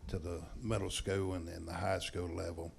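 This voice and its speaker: A man on a school board, speaking haltingly and repeating words. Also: sits low, level tone, vocal fry, darkish tone, slight background noise. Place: school board meeting room.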